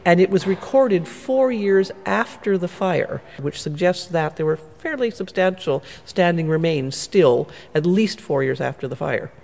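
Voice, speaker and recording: A man with a slightly high, gravelly voice explains church history in a measured, scholarly way. His words ring out with a long reverb in a stone church. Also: animated, a little mellow. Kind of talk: explanatory interview answers.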